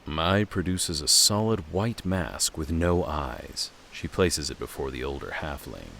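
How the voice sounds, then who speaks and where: A man's voice: rumbling low, animated, measured pace, vocal fry, fairly bright tone. A man narrating a fantasy audio drama in a dramatic scripted storytelling style.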